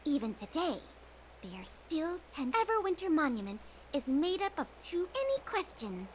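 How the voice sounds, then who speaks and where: A brisk, bossy guide officer. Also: female voice, high voice, very animated, slight background noise. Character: brisk, strict young woman tour guide officer. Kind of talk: video game voice acting. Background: game music.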